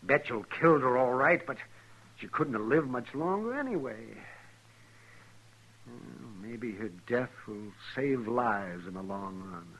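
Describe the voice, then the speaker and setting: An actor playing a crotchety, proud old frontier doctor in a 1950s radio western, with a blunt, folksy manner. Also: male voice, medium pitch, lively intonation, measured pace, fairly bright tone, low-quality recording. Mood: saddened. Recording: 1950s radio drama recording.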